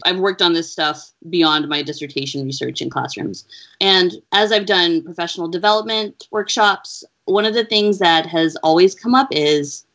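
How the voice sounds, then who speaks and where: Earnest woman explaining, slightly lower voice. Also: fairly bright tone. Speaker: education researcher, interview guest. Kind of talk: explanatory interview answers. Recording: dull lo-fi audio.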